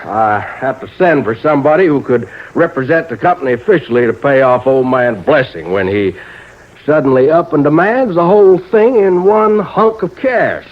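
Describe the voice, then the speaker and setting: Folksy old rural American agent, vintage radio. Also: male voice, a little high, expressive. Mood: confiding. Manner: folksy, hesitant, drawn-out storytelling. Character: folksy, crafty, nervous old small-town insurance agent. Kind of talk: old-time American radio drama acting. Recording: narrow-band vintage radio recording.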